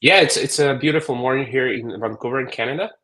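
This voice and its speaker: A man's voice: medium pitch, fast, bright, forceful tone. A man speaking as a guest on a video call, often repeating words as he starts a phrase. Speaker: guest expert. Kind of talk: casual interview talk.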